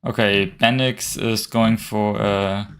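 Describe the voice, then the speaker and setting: Slow-talking Austrian man. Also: lowish voice, clean tone. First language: German. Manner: relaxed, chuckling, hesitant with fillers. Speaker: tournament commentator. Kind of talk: casual game commentary.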